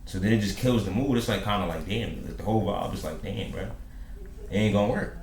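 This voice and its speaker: A man with a lowish voice chats loosely in slang, full of fillers, as he reacts to a video. His voice bounces around an echoey room with a faint hiss underneath. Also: brisk, darkish tone. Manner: laid-back slangy, lots of fillers. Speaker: reaction host. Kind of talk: casual reaction commentary.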